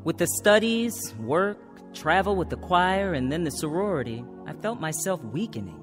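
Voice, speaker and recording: A woman's voice: on the low side, lively intonation, moderate pace, close to an everyday voice. An actress playing an earnest, determined young woman who recounts her student years in a radio drama. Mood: reflective. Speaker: narrator and lead actor. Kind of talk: radio drama acting and first-person narration. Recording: reverberant radio drama with music.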